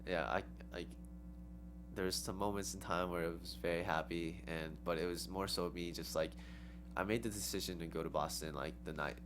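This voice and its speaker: A young woman dancer answers in loose, rambling sentences packed with "like" and "um" and broken up by giggles. Her voice sits very low, and a clear steady hum runs behind her. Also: normal speed. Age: young adult. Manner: rambling, heavy on "like" and "um", with giggly laughs. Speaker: interview guest, dancer. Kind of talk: casual interview answers.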